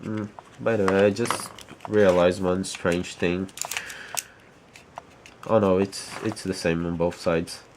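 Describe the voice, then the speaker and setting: Slow, halting low-voiced man. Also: fairly even intonation, background noise. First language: Portuguese. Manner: hesitant, halting, repeats words while searching for English. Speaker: vlogger. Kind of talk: casual rambling vlog.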